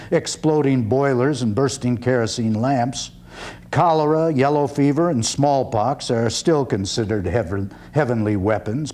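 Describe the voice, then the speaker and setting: A male actor performs a 19th-century orator and proud veteran in a one-man play. The man's voice sits at a medium pitch and swings widely for emphasis. He declaims in deliberate, measured phrases, with dry irony and a freethinker's conviction. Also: dark tone. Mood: wry, mocking. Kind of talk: dramatic reading, theatrical oratory.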